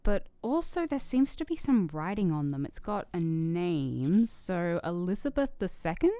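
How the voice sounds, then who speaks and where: A woman's voice: fairly low pitch, very animated, measured pace, mellow, no echo. A woman playing a chatty, curious amateur radio co-host and Earth enthusiast in an audio drama.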